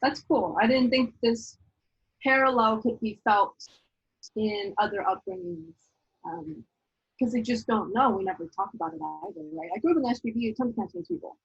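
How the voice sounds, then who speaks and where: Husky-voiced woman, soft and modest. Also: a little high, lively intonation, normal speed. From United States. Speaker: comic artist. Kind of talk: casual Q&A answers. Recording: dull lo-fi sound.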